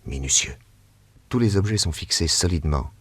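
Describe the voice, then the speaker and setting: An actor playing a calm, shrewd middle-aged detective in a radio drama, speaking in a measured, grave and deliberate way. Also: male voice, extremely low pitch, slightly rough, full character voice. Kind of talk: 1940s radio acting.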